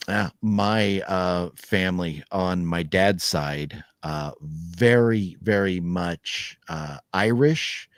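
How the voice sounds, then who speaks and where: A man's voice: deep voice, slow, hardly any pauses, no room sound. A man in his fifties hosting a casual livestream chat, reading viewer comments in a rambling, profane way with frequent laughter. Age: 50s. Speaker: podcast host.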